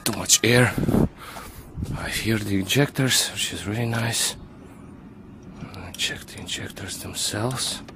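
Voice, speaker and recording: Male home mechanic, low register, casual running commentary over a noisy outdoor camera recording. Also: slow pace, pauses often. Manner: talks to himself while working, short muttered remarks. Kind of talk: hands-on repair walkthrough. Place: outdoors at a car's engine bay.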